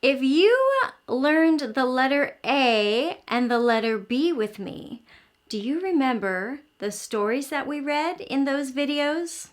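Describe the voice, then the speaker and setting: A woman's voice: sits high, animated, slow pace. A woman hosting an interactive read-aloud for young children, telling the story expressively and explaining as she goes. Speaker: host of children's early learning show.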